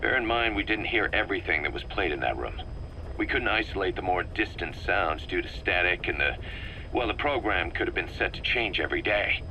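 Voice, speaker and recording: A man playing an analytical, wary military second-in-command in a video game, explaining things in a measured, methodical way. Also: low voice, expressive, sharp tone, slight background noise, full character voice, rough recording. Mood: puzzled, serious. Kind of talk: scripted video game cutscene dialogue.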